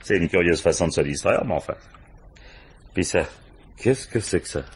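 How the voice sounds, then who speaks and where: Deep-voiced, world-weary police commissioner. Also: male voice, lively intonation, normal speed, husky. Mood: ironic, probing. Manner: colloquial, muttering, dryly ironic. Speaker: actor playing a police commissioner. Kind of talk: French radio crime drama acting.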